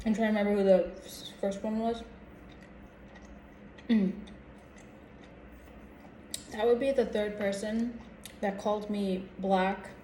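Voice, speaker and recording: American woman, slow pace, casual vlog chat, reflective and halting, roomy echo, some background noise. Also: mid-range voice, a little mellow. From United States. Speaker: vlogger.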